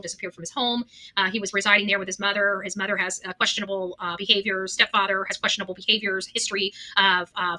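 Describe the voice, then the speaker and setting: A woman's voice: mid-range voice, bright, forceful tone, poor audio. A woman giving unscripted, blunt and accusatory opinion commentary as a vlog host.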